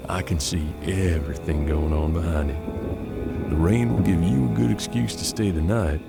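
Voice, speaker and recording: A man's voice: sits low, moderate pace, creaky, over loud music. An American man playing a seasoned, unflappable bounty hunter in a western audio drama, with a folksy, laconic drawl. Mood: calm, calculating. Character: seasoned, unflappable Old West bounty hunter mentoring his apprentice.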